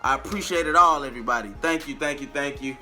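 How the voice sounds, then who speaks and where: An American man telling a story in a casual, slangy vlog style, chatty and animated, with drawn-out emphasis and repetition. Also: medium pitch, faint music behind. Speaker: vlogger and amateur singer.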